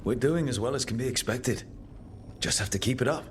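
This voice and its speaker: An actor playing a steady, supportive young mage who leads a band of heroes in a video game, calm and reassuring. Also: male voice, mid-range voice, brisk, slight background noise, lightly acted. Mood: caring, calm. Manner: calm, reassuring, plain-spoken. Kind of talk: video game character acting.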